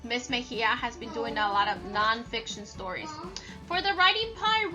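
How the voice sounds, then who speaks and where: A woman's voice: fairly high pitch, pushed and bright. A woman teacher reading aloud and instructing young children, addressing them directly and stressing key words. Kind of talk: slow instructional read-aloud with call-and-response prompts.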